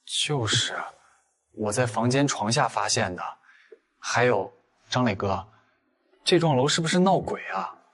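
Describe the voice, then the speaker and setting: A man acts a young male student in an animated drama. His middle-pitched voice has a husky edge, and he speaks politely with a put-on naivety that hides a knowing, calculating mind. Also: measured pace, pauses often. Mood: meek, innocent. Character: scheming young male student feigning innocence. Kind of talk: Chinese animated audio drama acting.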